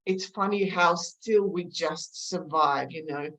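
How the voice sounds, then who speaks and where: A woman with a mixed Eastern European and Australian accent, telling her story in a halting, reflective way as an interview guest. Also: fairly low pitch, a bit bright, low-quality recording. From former Yugoslavia, moved to Australia at eleven. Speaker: podcast guest, counselor.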